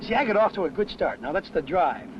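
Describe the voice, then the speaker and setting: A man's voice: high voice, lively intonation, fast talker. A man playing an earnest, affable salesman in a 1970s sales training film, explaining things in an eager, folksy way. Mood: earnest, explanatory. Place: mostly outdoors on a golf course. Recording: muffled, very noisy 1970s 16mm film sound.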